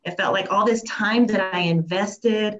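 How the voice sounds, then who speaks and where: A woman's voice: mid-range voice, unhurried, dull audio, slight background noise. A woman coach on a panel, speaking reflectively from personal experience. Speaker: life coach guest. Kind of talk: panel discussion.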